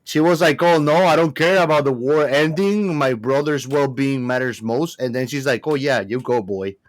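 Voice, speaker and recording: Male podcast co-host, casual and opinionated, halting wordy delivery, close dry recording. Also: medium pitch, dry recording, low-quality recording. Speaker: podcast co-host discussing a film. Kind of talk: casual film discussion.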